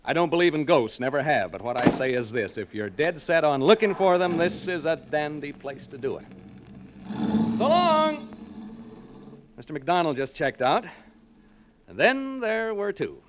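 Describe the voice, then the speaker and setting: A man's voice: on the high side, sing-song, moderate pace, pauses often, background noise. An actor playing a skeptical, joking male reporter broadcasting a ghost hunt, in 1940s radio acting style. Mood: jokey, chatty. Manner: joking, breezy, chatty with listeners. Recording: dull old 1940s radio recording.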